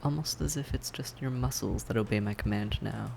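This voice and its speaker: A man playing a suave, predatory vampire in an audio roleplay, speaking in deliberate, theatrical phrases with teasing condescension. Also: on the low side, gravelly, noisy background. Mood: playful, seductive. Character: suave, predatory male vampire toying with his prey. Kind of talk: scripted roleplay audio drama monologue.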